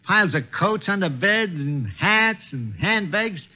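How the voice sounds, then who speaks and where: A wheedling small-time thief stammers evasively in uneducated street speech. The voice is very high for a man and swings nervously up and down, on a dull vintage radio recording. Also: animated, a little slow. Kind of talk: old-time radio acting.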